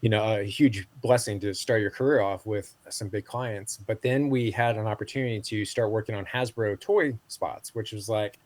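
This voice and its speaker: A man's voice: lowish voice, steady tone, normal speed, hardly any pauses, a little mellow. A man in his forties, a podcast guest, talking casually with many fillers and restarts. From Southeast Asia. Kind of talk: casual interview talk.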